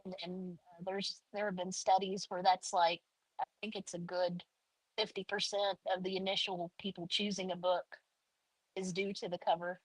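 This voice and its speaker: A woman's voice: lowish voice, flat delivery, moderate pace, slightly rough, no room sound, poor audio. Hesitant woman author with a dry sound. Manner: hesitant, many fillers and restarts. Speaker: author guest. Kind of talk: casual interview talk.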